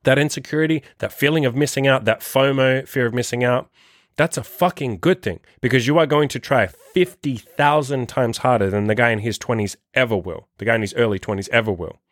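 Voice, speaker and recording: Man, 30s, dating coach host, profane, emphatic, dry, medium pitch. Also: fairly quick, no echo. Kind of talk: solo motivational podcast monologue.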